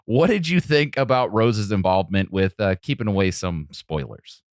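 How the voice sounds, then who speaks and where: A man's voice: on the low side, animated, moderate pace, dry recording, studio quality. A man co-hosting a podcast, steering the discussion and putting questions to the others. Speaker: podcast co-host.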